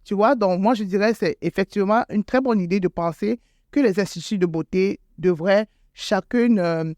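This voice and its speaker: A woman's voice: medium pitch, normal speed, a little mellow, no echo, studio quality. A woman who owns a beauty salon, answering interview questions in a hesitant way, with many fillers and repeated words, and rising sharply when she stresses a point. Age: adult with school-age children. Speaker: beauty salon owner, guest.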